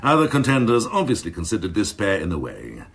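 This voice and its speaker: An actor playing a cynical, domineering assistant chief constable, mocking and ornate in a crime radio drama. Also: male voice, sits low, huge pitch swings, a little fast, fairly bright tone, husky, full character voice. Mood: mocking, grandiose. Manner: mocking, ornate, theatrical phrasing.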